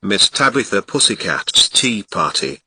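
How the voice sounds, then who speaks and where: Bright, lively Ghanaian man reading a fairy tale. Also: fairly low pitch, a little slow, husky, dry recording. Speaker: narrator. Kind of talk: storybook reading.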